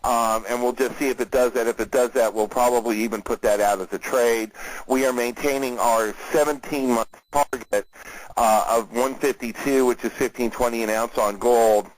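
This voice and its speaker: A man's voice: mid-range voice, fairly even intonation, no echo, poor audio. Dry, close-sounding male market analyst. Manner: measured, conversational, frequent hesitant fillers. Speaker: market analyst, host of a weekly conference call. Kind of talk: unscripted market commentary for clients.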